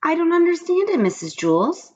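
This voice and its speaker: A high-pitched woman's take on a little schoolgirl from a storybook, plaintive and puzzled. The delivery swings up and down emphatically, on a muffled recording. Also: big pitch swings, a little fast, slight background noise. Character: sweet, unhappy young schoolgirl, plaintive and puzzled. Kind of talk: children's storybook read-aloud character.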